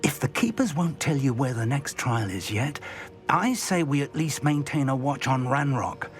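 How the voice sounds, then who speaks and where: Man as an animated, refined older mentor. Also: medium pitch, moderate pace, lightly acted. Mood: pondering. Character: earnest, wry older male mentor professor. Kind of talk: video game dramatic acting.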